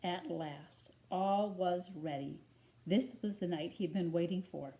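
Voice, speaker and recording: A woman reading a children's story aloud in an expressive, deliberate storytelling manner. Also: fairly low pitch. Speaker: storybook reader.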